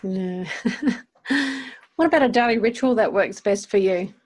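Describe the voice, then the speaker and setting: Lady hosting a casual interview, normal pitch voice, really affirming and encouraging. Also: measured pace, a little mellow.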